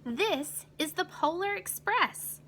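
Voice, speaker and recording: Woman as Christmas storybook conductor, commanding, inviting, drawn-out announcements, bright tone, big melodic swings, background noise. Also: very high-pitched, animated, pitched above the performer's own voice, full character voice. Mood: grand, inviting.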